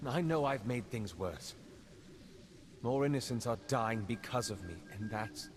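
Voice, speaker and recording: A man's voice: medium pitch, expressive, a little slow, lightly acted. A man as an earnest agent, long reverb. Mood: remorseful, determined. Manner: earnest, serious. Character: earnest, troubled male intelligence agent. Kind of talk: video game cutscene acting. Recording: long reverb, music underneath.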